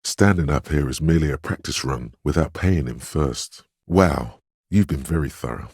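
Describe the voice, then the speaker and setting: A man voicing a video game character's inner voice of willpower, solemn, measured and reassuring, giving earnest counsel. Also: a bass voice, darkish tone, slightly rough, dry recording, studio quality, full character voice. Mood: counselling. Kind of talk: scripted inner-voice narration for a role-playing game.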